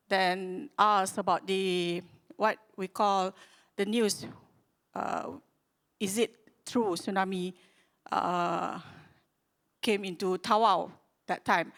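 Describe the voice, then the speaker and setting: A woman with a Malaysian accent presents at a conference in a mid-pitched voice. Her delivery is halting, as she searches for words and restarts her phrases while explaining things to listeners. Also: fairly even intonation. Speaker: conference speaker from a public radio broadcaster.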